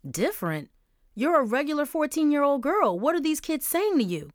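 A woman's voice: high voice, sing-song, normal speed, no room sound, clean studio sound, pitched above the performer's own voice. A woman voicing a worried mother who keeps pressing her daughter with concerned questions. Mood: insistent.

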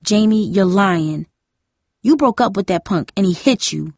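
A woman's voice: fairly high pitch, animated, normal speed, dry recording, clean studio sound, pitched above the performer's own voice. Woman as an earnest, protective older sister. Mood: insistent. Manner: earnest, pressing. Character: protective, persistent older sister, a concerned teenage girl.

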